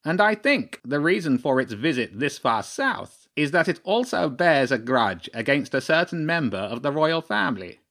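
A man's voice: a little high, very animated, normal speed, few pauses, fairly bright tone, pitched above the performer's own voice. A man voicing a stern, shrewd old crow chairman in a storybook, speaking formally and pompously. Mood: shrewd, measured. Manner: pompous, clipped, formal pronouncements.

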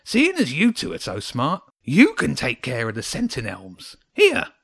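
A man voicing a grumpy, defensive little old hedgehog guard in a children's audiobook, indignant and mocking in a high, creaky voice. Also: huge pitch swings, measured pace, a bit bright, dry recording, studio quality, full character voice. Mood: huffy, mocking. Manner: indignant, spitting, mocking, exclamatory. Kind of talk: children's audiobook character acting.

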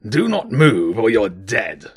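Man voicing a gruff, husky badger king. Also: lowish voice, big pitch swings, slow pace, full character voice. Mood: menacing. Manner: terse, imperious. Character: gruff, commanding old badger king, menacing. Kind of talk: audiobook character voice.

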